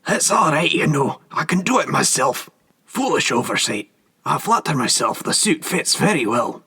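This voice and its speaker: A man voicing a frail, vain old gentleman with a thin, reedy voice, fussing and repeating himself. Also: a little high, moderate pace, husky, pitched above the performer's own voice, full character voice. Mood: flustered. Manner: anxious, fussy, self-flattering repetition.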